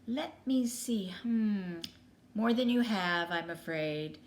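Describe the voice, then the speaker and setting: A woman voicing a little old male carpenter in a storybook, kindly but reluctant, with a drawn-out, pondering manner. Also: medium pitch, expressive, voice pushed up. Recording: clearly noisy background.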